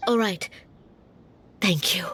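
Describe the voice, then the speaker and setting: A woman's voice: fairly low pitch, slow pace, a bit bright, a little hiss or hum. A woman voicing an anxious, pleading mother in a video game. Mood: worried, pleading. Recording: clear music behind voice.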